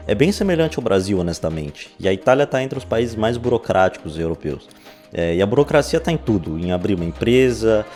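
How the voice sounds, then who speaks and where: Mellow young Brazilian man. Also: fairly low pitch. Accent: Brazilian Portuguese. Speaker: vlogger. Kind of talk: casual storytelling to camera. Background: background music.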